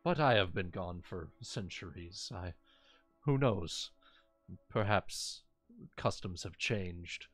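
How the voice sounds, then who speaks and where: A man acts out an ancient ancestor spirit for a tabletop game, low in pitch and very slow. The spirit is solemn and gracious, choosing formal words with care, with music behind the voice. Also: animated, a bit bright, no echo, full character voice. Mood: musing, solemn.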